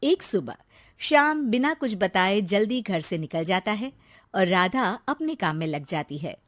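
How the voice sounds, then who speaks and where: A woman's voice: mid-range voice, lively intonation, measured pace, a bit bright, no room sound, studio quality. A woman narrating a scripted moral story for a cartoon in a storytelling style.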